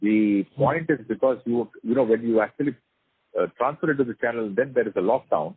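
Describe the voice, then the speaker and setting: A man's voice: mid-range voice, a little fast, slightly rough, dry recording. An Indian man speaking as a company executive, giving informal, unscripted business answers on a call. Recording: dry, narrow conference phone line.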